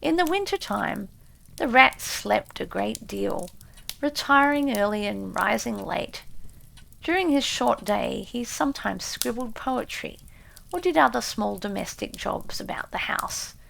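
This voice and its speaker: A woman reading a classic storybook as an audiobook narrator, in a measured, expressive way. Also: fairly high pitch, gravelly, slight background noise, rough recording.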